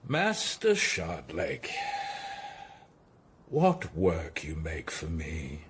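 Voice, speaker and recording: Tudor radio drama: menacing, sardonic male statesman, very raspy, slow and expressive. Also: very deep voice, exaggerated voice. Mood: weary sarcasm. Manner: deliberate, threatening. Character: menacing, sardonic Tudor royal secretary, ruthless older statesman.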